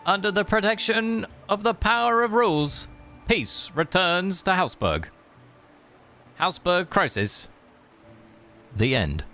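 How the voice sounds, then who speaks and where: A man's voice: sits high, expressive, slow, long pauses, pushed and bright, soft background music. A man streaming a game, reading story text aloud with sarcastic, joking asides.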